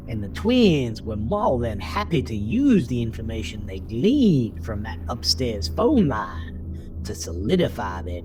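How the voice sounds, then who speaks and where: Casting: male narrator for horror audio drama, deliberate and unhurried, animated intonation, clear music bed behind him. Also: medium pitch.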